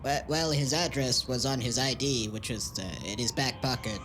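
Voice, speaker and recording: A man's voice: medium pitch, background noise, natural voice. Nervous, stammering junior investigator, acted by a man. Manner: halting, stammering, timid. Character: nervous, deferential male crime-scene underling. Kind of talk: noir audio drama acting.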